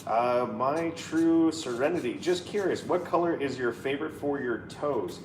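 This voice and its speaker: A man's voice: medium pitch, normal speed, a little mellow, echoey room, recorded from a distance, slight background noise, poor audio. A man in his thirties chatting casually and playfully on a live stream, reading viewer comments aloud. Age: 30s. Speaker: co-host reading live chat.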